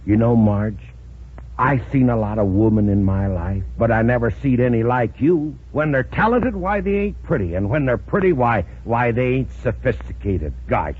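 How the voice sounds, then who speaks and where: An actor playing a blustering, roguish fishing boat captain with a folksy, ungrammatical drawl in 1940s radio comedy style. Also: male voice, medium pitch, lively intonation, normal speed, husky. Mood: sweet-talking. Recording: tinny, noisy old radio recording.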